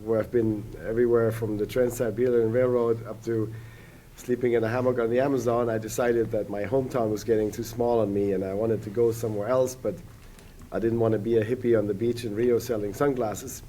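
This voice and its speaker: Podcast guest, German-native man, 40s, mellow, halting and rambling. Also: medium pitch, steady tone, a little hiss or hum. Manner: rambling, many hesitant restarts and fillers. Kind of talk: casual podcast conversation.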